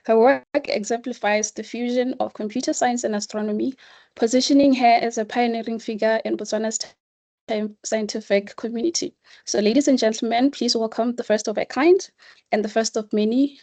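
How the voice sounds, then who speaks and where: A woman's voice: on the high side, fairly even intonation, moderate pace, warm tone, no echo. A woman moderating an online webinar, formally reading out a speaker's biography. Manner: formal, reads a biography aloud. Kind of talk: formal introduction.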